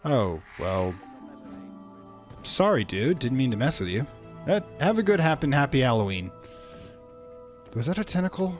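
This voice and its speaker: A man's voice: mid-range voice, very animated, normal speed, pauses often, music in the background. A man hosting a let's play with casual commentary and wry, easygoing asides. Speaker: gaming host.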